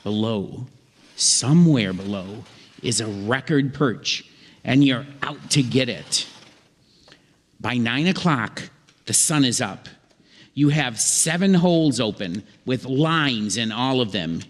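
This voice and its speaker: A man narrating a scripted comic story on stage in an old-time radio show, deliberately and with dramatic pauses. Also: medium pitch, expressive. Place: live theatre stage. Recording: theatre hall reverb, faint noise.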